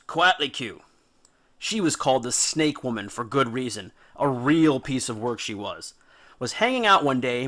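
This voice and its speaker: A man's voice: on the high side, fairly bright tone. A man giving a scripted, informal educational narration, telling stories in a dramatic, jokey and slangy way with punchy emphasis. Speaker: narrator and host of a mythology video series.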